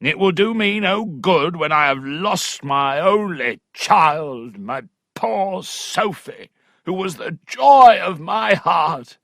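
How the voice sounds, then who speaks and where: A man reading a storybook while voicing a hot-tempered country squire who shouts and curses at his neighbour. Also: high-pitched, expressive, slow, no room sound, clean studio sound, voice pushed up, heavily acted. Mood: raging. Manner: shouting, cursing, furious.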